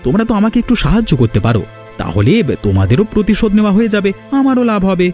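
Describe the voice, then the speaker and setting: Spiteful village plotter for a cartoon, high mellow voice, grumbly, music behind. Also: male voice, wildly swooping pitch, racing. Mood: scheming. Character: spiteful, scheming village man plotting against rivals. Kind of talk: cartoon fairy-tale dialogue.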